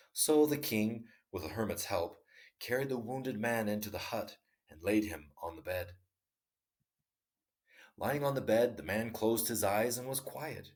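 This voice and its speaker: A man narrating a classic short story as a steady, measured read-aloud. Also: lowish voice. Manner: steady, measured reading; casual outro to viewers. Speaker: storyteller and channel host.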